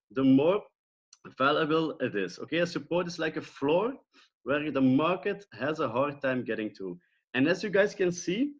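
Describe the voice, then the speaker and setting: A man's voice: fairly high pitch, breathy edge, muffled recording. Upbeat Belgian man teaching trading. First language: Dutch. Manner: upbeat, repeats phrases and checks in with "okay?". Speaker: trading educator. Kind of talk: live online trading lesson.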